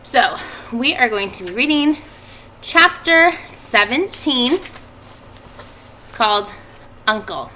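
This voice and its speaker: Animated, high-voiced woman reading aloud. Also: takes it slowly, pauses often, a little hiss or hum, rough recording. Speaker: teacher. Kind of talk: storybook read-aloud for pupils. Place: indoors at home by a fireplace.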